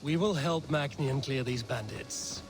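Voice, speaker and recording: A man's voice: medium pitch, a little slow, natural voice. A man playing a stern, battle-hardened Viking warrior with a dry, teasing streak in a video game. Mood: dry, commanding. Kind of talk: video game dialogue acting. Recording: game dialogue under clear outdoor background noise.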